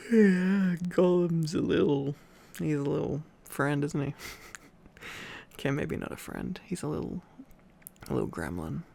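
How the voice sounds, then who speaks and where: Male ASMR storyteller, drowsy, very creaky, unhurried, affectionate, playful, intimate delivery. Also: on the high side, very animated, mellow. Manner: affectionate, playful asides to a listener. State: sleepy, tired. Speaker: ASMR boyfriend roleplay storyteller. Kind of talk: intimate ASMR reading and sleepy rambling.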